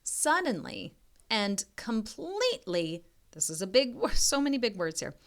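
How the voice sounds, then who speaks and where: A woman reading a children's picture book aloud in an expressive, teacherly way, with chatty asides to her listeners. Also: on the high side, a little slow.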